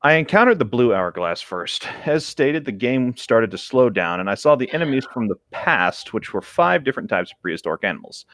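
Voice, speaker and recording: A man co-hosting a story reading, switching between reading aloud and jokey, excitable banter. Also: mid-range voice, a little fast, few pauses, no echo.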